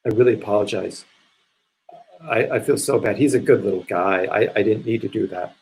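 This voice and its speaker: A man playing a remorseful, rambling spiritual seeker in a live stage play reading, halting and conversational in delivery. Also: fairly low pitch, creaky, a little mellow, a little hiss or hum, rough recording. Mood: apologetic, subdued.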